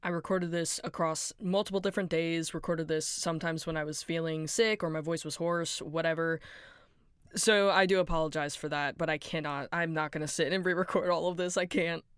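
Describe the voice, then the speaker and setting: A woman rambling casually about her fan project, tired and apologetic, hoarse and nasal from being sick, on a close home mic. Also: low-pitched, steady tone, a little fast, dry recording. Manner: rambling, apologetic, tired, self-deprecating laughs. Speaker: fan animator and voice actor. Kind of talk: casual behind-the-scenes rambling.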